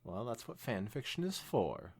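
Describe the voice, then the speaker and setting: A man's voice: fairly low pitch, big pitch swings, moderate pace, a little mellow, breathy edge. A man co-hosting a podcast, reading fan fiction aloud expressively with some stumbles and dropping into casual chat. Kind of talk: dramatic read-aloud mixed with casual chat.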